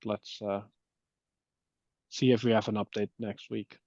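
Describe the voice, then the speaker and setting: A man talking through an issue in a work meeting on an online call, with halting, hesitant phrasing. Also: on the low side, flat delivery, a little mellow, dry recording. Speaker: committee member in a technical meeting. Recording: muffled, dull call audio.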